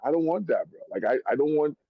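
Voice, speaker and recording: A man from Trinidad, a rapper guest, talking in an animated, slang-heavy way in a casual chat. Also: on the high side, quick pace, husky, no echo. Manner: animated, slang-heavy, calls host bro. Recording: dull, dry lo-fi sound.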